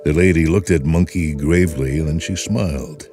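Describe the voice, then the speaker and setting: Deep, dark, expressive male story narrator with faint music behind. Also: normal speed. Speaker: host and narrator.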